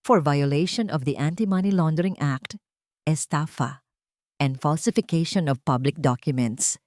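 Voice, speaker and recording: A woman's voice: sits low, normal speed, a little mellow, no echo, clean studio sound, lightly acted. Woman as a stern, formal arresting agent.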